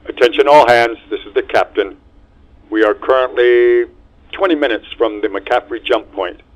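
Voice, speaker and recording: A man's voice: mid-range voice, moderate pace. A man voicing a stern male starship captain making an announcement to the crew over the ship's intercom. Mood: formal. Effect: ship intercom filter. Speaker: ship captain. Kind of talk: fan audio drama acting. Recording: tinny intercom filtered.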